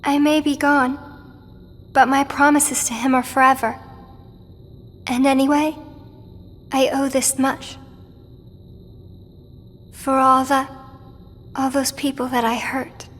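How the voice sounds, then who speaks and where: A woman voicing a gentle, wistful teenage girl in a video game cutscene, speaking softly and earnestly. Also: sits high, little pitch movement, takes it slowly, pauses often. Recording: game audio over loud music. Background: music.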